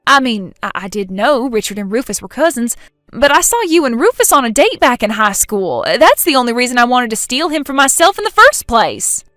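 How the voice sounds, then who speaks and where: A woman voicing a panicky, whiny young woman caught cheating, talking loud and pleading. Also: a little high, big pitch swings, fairly quick, no echo, studio quality. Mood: shocked.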